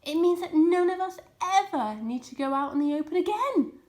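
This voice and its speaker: A woman's voice: extremely high pitch, animated, moderate pace, darkish tone, pitched above the performer's own voice. A woman voicing a jovial, confident male fox leader, making rousing speeches in a children's storybook. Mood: excited, inviting. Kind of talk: children's storybook character reading.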